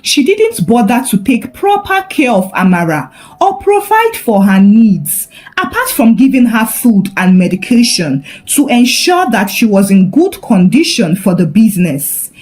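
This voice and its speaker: A woman narrating a scripted folktale in a dramatic, expressive storytelling manner. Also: a little high.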